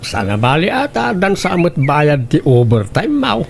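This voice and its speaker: Actor doing fretful ilocano dad, high pitched and swoopy, scolding, radio drama. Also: male voice, wildly swooping pitch, normal speed, gravelly, a little hiss or hum, full character voice. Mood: concerned. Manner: exclamatory, reproachful. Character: protective, indignant father fretting over his daughter. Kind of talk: Filipino radio drama acting.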